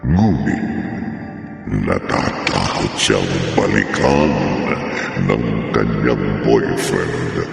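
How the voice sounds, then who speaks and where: Cavernous, gravel-deep sinister horror storyteller. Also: male voice, expressive, heavily acted. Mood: grave, suspenseful. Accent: Filipino. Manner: slow, drawn-out, dramatic phrasing. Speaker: horror radio drama narrator and host. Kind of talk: theatrical horror radio narration. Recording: long cavernous reverb, music bed.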